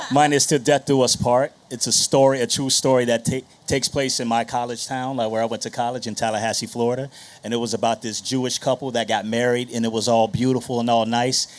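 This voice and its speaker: A man's voice: medium pitch, steady tone, brisk. Panel moderator, male. Casual and playful, jokes with guests, leans on repetition. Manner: playful, joking, repeats phrases for emphasis. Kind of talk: live panel discussion, casual banter.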